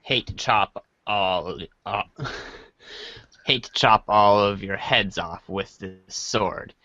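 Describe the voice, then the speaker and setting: A man reading a script aloud on a podcast as dramatic narration, halting and stumbling over his lines. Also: on the low side, bright, forceful tone, no echo, lo-fi sound. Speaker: podcast reader.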